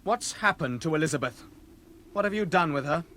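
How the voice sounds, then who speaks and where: An actor playing a bitter, distrustful young accomplice in a radio drama, tense and blunt. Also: male voice, on the high side, animated, brisk, fairly bright tone. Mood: angry, accusing.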